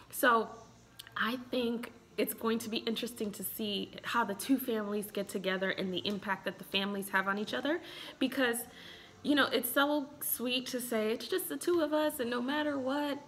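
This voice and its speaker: A woman in her thirties with an American accent, talking in a casual, expressive way as a relationship expert giving a review. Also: mid-range voice. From Chicago, United States. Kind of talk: casual vlog commentary. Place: small room indoors at home.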